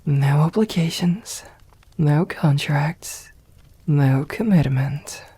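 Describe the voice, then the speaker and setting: Woman as a breathy, dark-voiced seductive vampire mistress. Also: deep voice, heavily acted. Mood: coaxing, seductive. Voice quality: breathy, often whispering. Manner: slow, formal, coaxing endearments. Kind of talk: ASMR roleplay.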